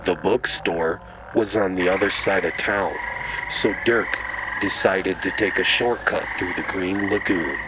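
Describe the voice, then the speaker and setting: A man narrating a children's story in radio drama style, deliberately and dramatically. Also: sits low. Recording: noisy ambient sound effects behind.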